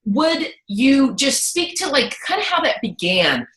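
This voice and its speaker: A woman's voice: sits high, unhurried, echoey room, rough recording. A woman hosting a casual chat, talking candidly and acting out little quoted thoughts as she goes. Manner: candid, animated, talks through asides and quoted thoughts. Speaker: host.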